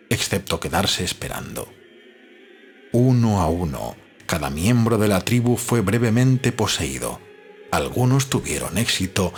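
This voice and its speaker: A man reads literary narration in a measured, dramatic storytelling manner. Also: low-pitched. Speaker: audiobook narrator. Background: background music.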